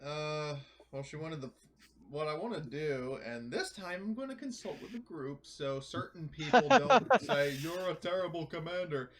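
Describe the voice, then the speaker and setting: High, animated male player, voices behind. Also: a little slow, crowd noise. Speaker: tabletop game player. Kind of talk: casual, joking in-game chat.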